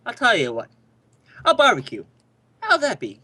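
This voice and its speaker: Man acting a suburban dad, very lively pitch. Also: normal speed, full character voice. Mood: casual, curious. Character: suburban husband and father, affable turning suspicious. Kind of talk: amateur radio play reading.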